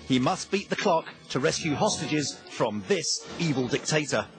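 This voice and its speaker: A man's voice: on the high side, lively intonation, normal speed, music in the background. A British man delivering scripted documentary narration in a wry, tongue-in-cheek way.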